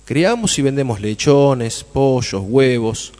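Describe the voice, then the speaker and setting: An excitable Argentine farmer in a radio drama, full of big dreams. His colloquial speech swings widely in pitch, and a long reverb surrounds the voice. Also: takes it slowly. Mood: proud, chatty. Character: Germán, enthusiastic dreamy male farmer from a farming family. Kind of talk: radio play acting.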